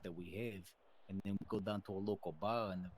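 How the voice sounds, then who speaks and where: Man playing a low-voiced, emphatic mafia boss. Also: steady tone, brisk, a little hiss or hum, lightly acted, low-quality recording. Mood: persuasive, matter-of-fact. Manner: rambling, emphatic, swearing wiseguy talk. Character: smooth-talking male mafia boss planning family business. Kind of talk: improvised crime roleplay in an online game.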